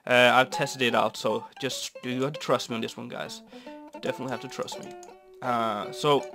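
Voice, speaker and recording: A young man from Norway, raspy and stuffy from a cold, rambling easily with many fillers while commenting on a building game. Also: medium pitch, steady tone, normal speed. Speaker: Minecraft builder. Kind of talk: casual gameplay commentary. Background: soft guitar music.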